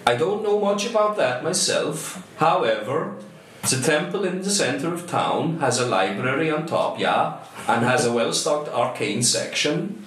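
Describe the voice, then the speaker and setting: A chirpy little crow-like shopkeeper, put on by a man speaks in a Swedish accent, a touch higher than an everyday voice. His patter is polite and eager to serve. The room echoes a little, with faint noise. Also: expressive, a little slow, a bit bright. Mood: welcoming. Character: small bespectacled crow-like shopkeeper, male.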